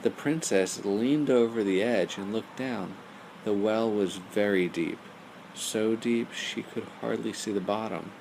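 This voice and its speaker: A man tells a bedtime story for young listeners. His voice is low with a gravelly creak, and the delivery is simple storytelling. Faint noise sits behind him. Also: a little slow, a little mellow, low-quality recording.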